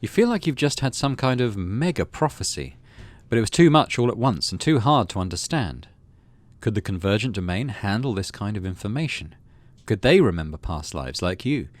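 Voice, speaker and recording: A man with a slightly low voice reads and talks through a story-driven game. His intonation is very lively, moving between expressive storytelling and casual player talk. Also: moderate pace, no echo. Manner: expressive storyteller reading with asides. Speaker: gaming channel host. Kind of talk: reading game narration aloud with casual commentary.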